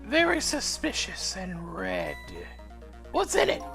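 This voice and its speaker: Man acting young woman detective, video game, chatty, stubborn, lively pitch, creaky edge, faint music behind. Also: slow pace, exaggerated voice. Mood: curious. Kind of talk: video game character dub in a let's play.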